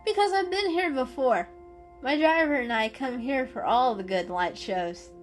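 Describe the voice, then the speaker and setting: A woman acts out a friendly, well-travelled storybook cat. The voice is pitched up, upbeat and emphatic. It chats breezily with music underneath. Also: moderate pace, close to an everyday voice. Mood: chatty, proud.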